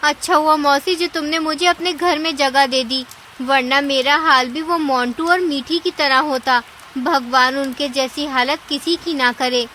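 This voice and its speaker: Clever, kindly lady bird for a cartoon, voiced by a woman: chatty, coaxing, dramatic, with a high, bright tone. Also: fairly even intonation, unhurried, slight background noise, rough recording. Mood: chatty, pleading. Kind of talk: Hindi cartoon story acting.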